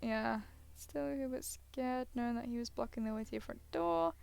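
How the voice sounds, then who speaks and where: A woman's voice: fairly high pitch, steady tone, normal speed, vocal fry, darkish tone, dry recording. A woman gaming streamer reading a visual novel aloud, casually breaking off into hesitant asides and sounding tired. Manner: hesitant, self-interrupting asides. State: tired, yawning.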